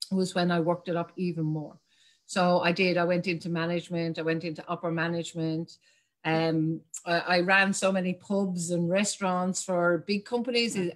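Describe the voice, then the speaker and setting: A woman in her forties with an Irish accent, telling her story as a guest on a video call, chatty and laughing often. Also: on the low side. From Ireland. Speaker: guest, communication specialist. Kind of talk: casual video-call interview. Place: home room.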